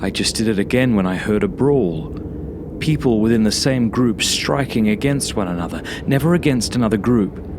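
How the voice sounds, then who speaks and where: A man narrating a first-person horror story like a journal, brooding and sometimes hushed, in short halting phrases. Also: lowish voice, animated, background noise. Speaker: author reading own horror story.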